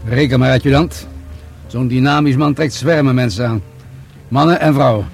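A genial police commissioner played by a man in a radio play, with a medium-pitched voice. He talks like an unhurried superior, musing aloud and gently teasing, with music clearly heard underneath. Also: lightly acted. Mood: brisk, amused. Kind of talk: Dutch radio drama acting.

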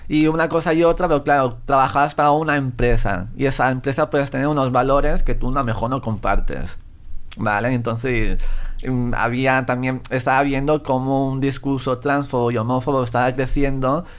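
A man's voice: mid-range voice, moderate pace. A man with an accent from Spain chatting casually as a guest, telling stories and acting out what people said. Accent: Spanish from Spain. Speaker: guest, social educator and bookshop founder. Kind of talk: casual conversational storytelling.

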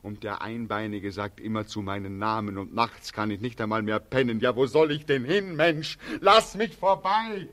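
An actor playing a weary, bitter young German war veteran in a 1940s radio drama, halting and heavy with repetition. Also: male voice, fairly low pitch, a bit bright, lightly acted. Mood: desperate, accusing.

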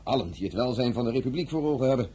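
Quick-talking, urbane scheming politician. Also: male voice, medium pitch, lively intonation, mellow, slightly rough. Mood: insinuating. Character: smooth, scheming politician, urbane and persuasive brother-in-law. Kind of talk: radio drama acting.

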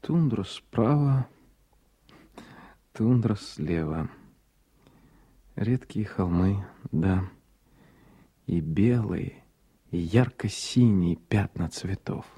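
An actor playing an ardent city doctor, smitten and given to musing, in a radio drama. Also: male voice, fairly low pitch, animated, drawn-out words, pauses often, warm tone, slightly rough, full character voice. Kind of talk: radio play acting. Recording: 1980s radio play recording.

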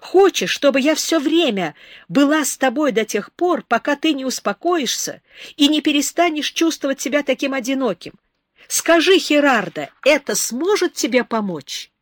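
A woman's voice: high voice, lively intonation, moderate pace, no room sound, clean studio sound, pitched above the performer's own voice. A woman doing a tearful young girl pleading. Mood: earnest. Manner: impassioned, pleading, near tears.

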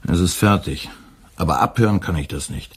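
A weary, terse radio editor. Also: male voice, deep voice, brisk, slightly rough. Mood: tired. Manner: dry, terse, quietly sardonic. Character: weary young male radio editor, dry and withdrawn.

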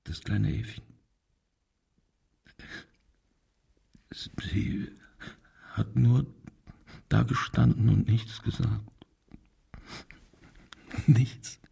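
An actor playing an evasive, bigoted, self-pitying murderer making a halting, defensive confession in a German radio crime drama. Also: male voice, lowish voice, fairly even intonation, vocal fry, dark tone, husky, full character voice. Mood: hushed, bleak. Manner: halting, defensive, wavering between muted and agitated.

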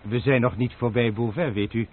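An actor playing a loyal manservant from the Ardennes in an old radio drama, speaking deferentially and protesting earnestly. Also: male voice, mid-range voice, fairly even intonation, moderate pace, natural voice. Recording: tinny old radio play with faint hiss.

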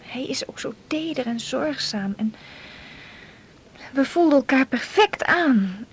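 High-voiced, lovelorn young woman. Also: animated, slight background noise. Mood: heartbroken, nostalgic. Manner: halting, confiding, often breathy. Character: lovelorn, wistful young woman confessing hopeless love to colleague. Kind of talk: naturalistic Dutch radio drama dialogue.

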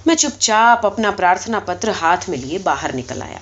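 A woman reading a short story aloud as expressive, scripted audiobook narration. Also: mid-range voice. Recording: dull, muffled sound.

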